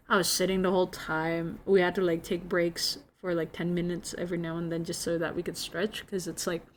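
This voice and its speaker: Young Indonesian woman, non-native English, casual chatty streamer, giggly storyteller, faint noise bed. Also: medium pitch. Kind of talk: casual chat with viewers.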